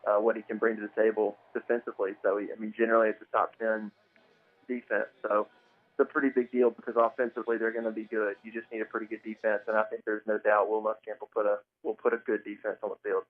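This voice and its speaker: An American man giving off-the-cuff football analysis, haltingly repeating himself, on thin audio. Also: fairly low pitch, level tone, narrow, tinny audio.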